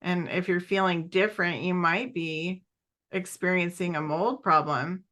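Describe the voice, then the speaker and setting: A woman's voice: lowish voice, fairly even intonation, a little slow, a bit bright. A woman co-hosting a casual podcast, telling stories in a chatty way with frequent giggles. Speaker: podcast co-host.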